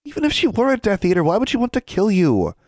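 A man's voice: on the high side, huge pitch swings, normal speed, dark tone, no room sound, clean studio sound, pitched above the performer's own voice. A man voicing a timid, anxious schoolgirl with a hesitant, pleading manner, in an audiobook. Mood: puzzled.

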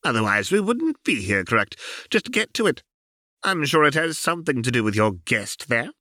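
Old armored baron for an audiobook, acted by a male reader: gruff, grumbling, pitched up, impatient. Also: very animated, moderate pace, pushed and bright, no echo, studio quality. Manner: blunt, grumbling.

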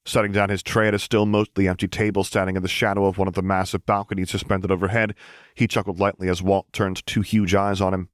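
A man's voice: deep voice, little pitch movement, fast talker, no echo, clean studio sound. A man reading scripted story narration as an audiobook narrator.

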